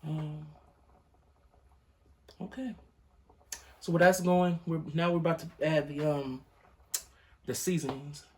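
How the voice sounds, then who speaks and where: A man's voice: a little high, steady tone, slow, pauses often, a little hiss or hum. A young man hosting a cooking video in his living room, chatting casually to viewers with a groggy morning voice. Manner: laid-back, chatty, addresses viewers as y'all. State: morning voice, just woke up. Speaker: cooking channel host. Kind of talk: casual recipe demonstration. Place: living room with a pot on a hot plate.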